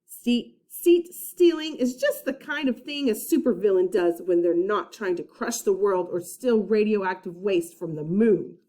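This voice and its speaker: A woman teacher reading a children's storybook aloud with dramatic emphasis, pausing to put questions to young listeners. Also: medium pitch, lively intonation, mellow. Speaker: first-grade teacher.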